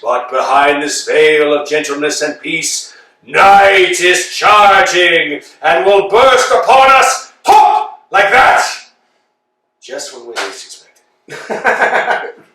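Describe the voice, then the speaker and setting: Man doing a pompous, grandiose landowner, lively swooping voice, slow bright delivery, echoey room heard from afar. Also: on the high side, far from the mic, heavily acted. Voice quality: grandiose, theatrical. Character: pompous blustering male landowner. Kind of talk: theatrical stage reading.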